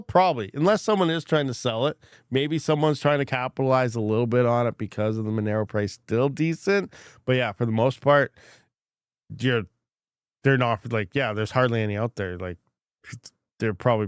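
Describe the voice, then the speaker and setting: Congested male streamer, frank and rambling, smooth clear tone, close dry mic. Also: medium pitch, brisk, no echo. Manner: rambling, frank, many fillers and restarts. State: congested, recovering from lost voice. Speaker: crypto mining livestream host. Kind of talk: casual livestream chat reading comments.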